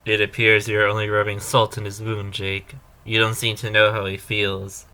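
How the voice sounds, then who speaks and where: Casting: male actor as a merciful, principled spirit, bright and very even, measured reproving delivery in audio drama. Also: lowish voice. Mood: gently reproving.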